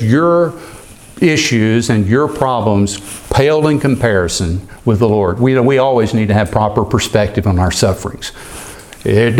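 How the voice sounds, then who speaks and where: A man's voice: medium pitch, darkish tone. A man pastor preaching an earnest expository sermon, sometimes repeating a word as he goes.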